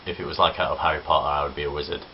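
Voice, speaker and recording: A young man with a Midlands accent from Nottinghamshire, talking in a very low voice. He rambles through a casual vlog with self-deprecating asides. The audio is dull and lo-fi, with a faint hiss underneath. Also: flat delivery, rapid-fire. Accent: English Midlands (Nottinghamshire). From Nottinghamshire, England. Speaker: vlogger. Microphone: camera on tripod.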